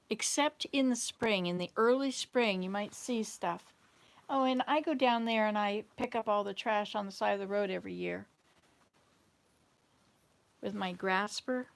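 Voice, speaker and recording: A woman with a Southern US accent hosts a casual livestream chat. Her mid-pitched voice has a noticeable creak. She rambles from one thought to the next as she replies to viewers. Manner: rambling, jumps between thoughts. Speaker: livestream host.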